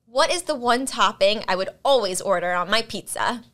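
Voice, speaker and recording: A woman's voice: mid-range voice, moderate pace. A young woman hosting a casual chat, quizzing her guests and laughing often. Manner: giggly, lively, laughs often. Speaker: podcast host. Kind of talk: casual chat, running a quiz.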